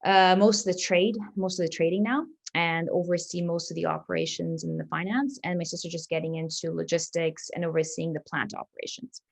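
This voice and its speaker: A woman's voice: lowish voice, steady tone, brisk, gravelly, hardly any pauses. A woman in her thirties from Dubai, speaking in a plain, explanatory way as she answers interview questions. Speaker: interview guest, family business executive.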